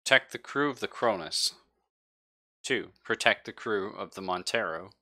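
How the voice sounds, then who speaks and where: A man playing a ship's android who gives measured, factual reports in a tabletop roleplaying game. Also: on the low side, expressive, sharp tone, heavily acted.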